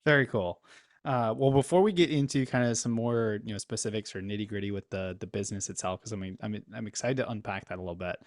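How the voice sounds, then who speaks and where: A man's voice: on the low side, fast talker, dry recording, clean studio sound. A man hosting a business interview, rambling through questions with lots of fillers. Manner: rambling, with many fillers like "kinda" and "you know". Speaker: interview host and business coach.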